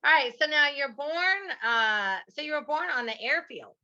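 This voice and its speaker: A woman's voice: high voice, lively intonation, a little slow, sharp tone. A woman around sixty hosting a podcast interview, enthusiastic and exclamatory. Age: 60s. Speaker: podcast host. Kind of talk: upbeat interview. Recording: dull, muffled audio.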